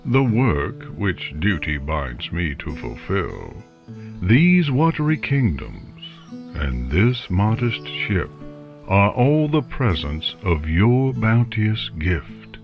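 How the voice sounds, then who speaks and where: A man's voice: sits low, very animated, slow, vocal fry, exaggerated voice. A man playing a solemn orator, reciting classical epic verse in a grand, declamatory manner. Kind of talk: dramatic verse recitation over music. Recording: dull sound, plucked guitar music behind. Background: plucked guitar music.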